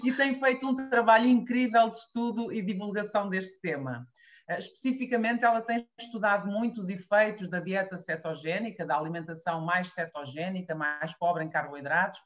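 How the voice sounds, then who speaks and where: A woman's voice: mid-range voice, fairly quick, dull audio. A woman with a European Portuguese accent hosting an interview and introducing her guest with formal courtesy. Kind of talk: video call interview.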